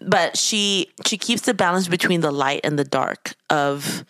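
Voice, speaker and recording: A woman's voice: fairly low pitch, unhurried, fairly bright tone, no echo. A young woman from the Philippines co-hosting a podcast, chatting casually and rambling as she searches for her words. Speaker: podcast co-host. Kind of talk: casual chat.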